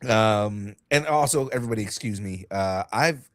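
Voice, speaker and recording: A young man hosting a casual podcast, excitable and swearing freely, with a light cold and a slight cough. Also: lowish voice, moderate pace, dry recording, studio quality. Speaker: podcast host.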